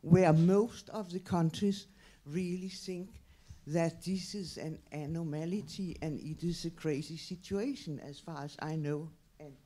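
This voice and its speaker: A woman's voice: low-pitched, unhurried, few pauses, dark tone. An older woman with a Danish accent, making a hesitant, repetitive personal comment and question from the audience. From Denmark. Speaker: audience member asking a question. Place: audience at a public talk.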